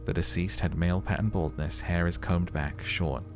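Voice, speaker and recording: A man playing a calm, methodical police lieutenant in a video game, dictating findings in a dry, matter-of-fact way. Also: sits low, moderate pace, music in the background. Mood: clinical, dry. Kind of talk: video game character voice acting.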